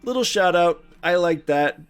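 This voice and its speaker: A man's voice: high voice, lively intonation, normal speed, clear, resonant tone, faint music behind. A man co-hosting a podcast, chatting casually about films and games in an enthusiastic, emphatic way. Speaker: podcast co-host.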